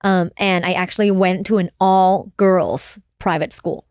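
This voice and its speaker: A woman's voice: lowish voice, steady tone, measured pace, a little mellow, clean tone, no echo, studio quality. A woman from Thailand, a sex educator and professor, speaking frankly and laughing easily as a podcast guest. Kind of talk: candid podcast conversation. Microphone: studio mic.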